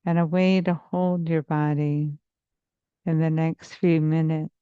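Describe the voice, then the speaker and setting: A woman therapist leading a guided meditation in a soothing, measured, hypnotic manner with long pauses, on slightly muffled audio. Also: deep voice, flat delivery, clean tone, no echo. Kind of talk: slow guided meditation narration.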